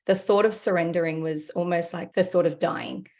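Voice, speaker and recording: A woman's voice: lowish voice, normal speed, vocal fry, darkish tone. A woman hosting a podcast interview, enthusiastic and affirming as she talks with her guest. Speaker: podcast host.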